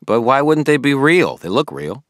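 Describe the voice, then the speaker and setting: A man doing an eager young man's voice, pitched well above his own low register and bouncing lively. He talks insistently and earnestly, like a pushy friend who won't drop the subject, in a dry, close storybook reading. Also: normal speed, no room sound, natural voice. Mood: curious. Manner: insistent, pleading.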